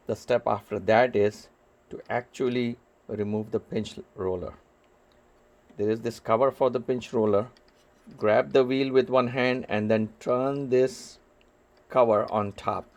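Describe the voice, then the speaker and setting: A man giving step-by-step hands-on repair instructions in a careful, halting way, pausing between short phrases. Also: on the low side, darkish tone. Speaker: repair tutorial host.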